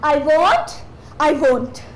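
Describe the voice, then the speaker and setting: A young woman worn down and close to tears, played by an actress, speaks slowly in a very high voice. The sound is echoey, as if caught on a stage. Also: lively intonation, heavily acted. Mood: weeping. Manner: tearful, anguished outbursts. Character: young woman, 23, weary and despairing. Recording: echoey stage audio, faint hum.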